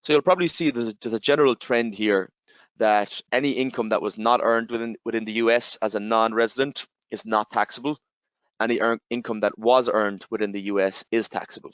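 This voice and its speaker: A man with an Irish accent, giving a webinar presentation and explaining step by step, with steady fillers and repeated "again". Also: on the low side, fairly quick, smooth, no room sound. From Ireland. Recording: slightly dull, dry webinar audio.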